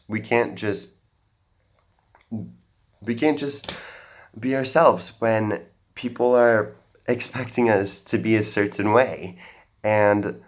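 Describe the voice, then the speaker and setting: Young man, American-sounding accent, earnest stage talk, halting restarts, slow pace. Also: on the low side. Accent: general American. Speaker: speaker at a talk. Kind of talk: public talk, personal story. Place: auditorium stage.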